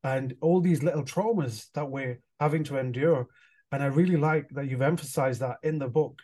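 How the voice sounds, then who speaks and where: A man's voice: medium pitch, moderate pace, warm tone. A man from England hosting a podcast, asking long, wandering questions full of "like" and "you know". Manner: rambling, searching questions with fillers and restarts. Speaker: podcast host. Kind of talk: casual interview.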